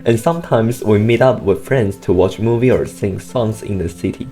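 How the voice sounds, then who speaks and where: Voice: mid-range voice, moderate pace, warm tone, soft background music. Nervous, giggly teen English learner. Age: teens. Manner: hesitant, nervous, giggly, repeats words. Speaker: senior high student, interviewee. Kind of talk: casual interview in learner English.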